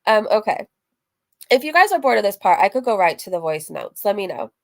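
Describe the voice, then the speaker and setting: A woman's voice: medium pitch, sing-song, a little fast, gravelly. A woman streamer chatting casually through a recap, reading messages aloud, candid and rambling, breaking off into asides and dropping casual swear words. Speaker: streamer and host of a reaction livestream.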